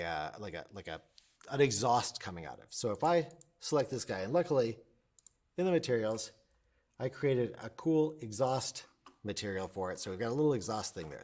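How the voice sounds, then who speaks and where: A man with a mid-pitched voice walks learners through software one step at a time. He is informal and enthusiastic, and he often breaks off and picks his thought back up as he explains. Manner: enthusiastic, informal, self-interrupting. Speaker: instructor.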